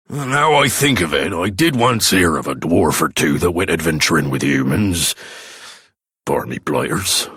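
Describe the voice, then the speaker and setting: An actor voicing a gruff, grudging dwarf in a fantasy video game, rough and curt in manner. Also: male voice, sits low, sharp tone, no room sound, studio quality, full character voice. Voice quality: gravelly, rough. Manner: curt, surly, colloquial. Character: gruff, grudging male dwarf who distrusts humans. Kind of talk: video game character acting.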